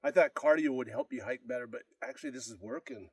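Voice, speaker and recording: A man's voice: mid-range voice, fast talker, darkish tone, slightly rough, dry recording, recorded from a distance. A man with a congested, out-of-breath voice, rambling casually to camera between sets of a workout. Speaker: fitness vlogger. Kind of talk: casual unedited workout vlog. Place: gym.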